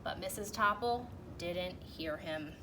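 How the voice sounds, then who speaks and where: A woman reading a children's storybook aloud in an animated, emphatic way, drawing out key phrases. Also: lowish voice, slow. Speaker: teacher reading a picture book aloud. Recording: clearly noisy background.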